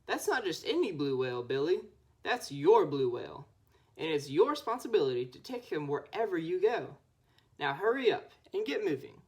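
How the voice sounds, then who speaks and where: A woman's voice: deep voice, sing-song, moderate pace, darkish tone. A young woman voicing a stern, exasperated mother in a picture book. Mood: firm, impatient.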